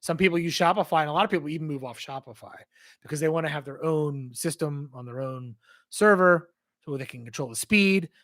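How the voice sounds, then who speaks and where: Hoarse, rambling livestream host, man. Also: fairly high pitch, fairly quick, fairly bright tone. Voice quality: strained, hoarse. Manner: rambling, conversational, reads out and answers chat questions. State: losing his voice. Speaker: livestream host answering viewer questions. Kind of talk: casual livestream Q&A.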